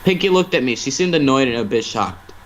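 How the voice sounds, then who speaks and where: Guy reading a story out loud, normal pitch, trips over words, bit of noise. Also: animated, a little fast. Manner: stumbling over words and rereading phrases. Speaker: narrator.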